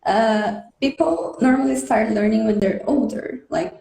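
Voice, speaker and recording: A woman's voice: fairly high pitch, unhurried, creaky, mellow. A woman in her thirties from Brazil, a native Portuguese speaker, chatting earnestly as a guest on a video call. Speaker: guest, English teacher. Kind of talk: casual interview chat. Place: home room with patterned wall. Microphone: webcam on video call. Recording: echoey room on video call.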